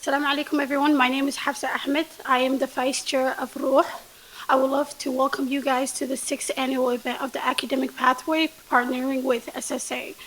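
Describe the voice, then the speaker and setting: Female event host, high voice, flat intonation, formal welcome, faint background noise. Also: fairly quick. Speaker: event host, organization vice chair.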